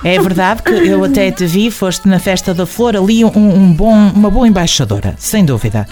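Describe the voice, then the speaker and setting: A woman's voice: medium pitch, animated, normal speed, over music. A woman with a European Portuguese accent hosting a conversational interview, effusive and affectionate with compliments for her guest. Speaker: interviewer, show host.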